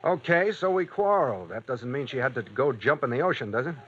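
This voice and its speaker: A young painter on edge who snaps defensively. His voice is sharp and bright. The recording sounds dull and old. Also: mid-range voice, animated, breathy edge. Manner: tense, defensive, quick to snap. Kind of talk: 1940s radio acting.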